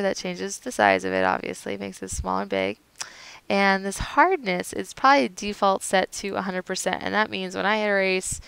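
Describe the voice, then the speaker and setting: A young woman, a little hoarse from a cold, casually talking through a software tutorial with fillers and self-corrections. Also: lowish voice, no room sound, low-quality recording. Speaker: tutorial host.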